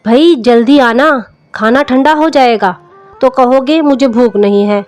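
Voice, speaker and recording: A woman voicing a caring young wife who gently chides, in a story reading. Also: sits high, a little slow. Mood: affectionate. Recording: music under the voice.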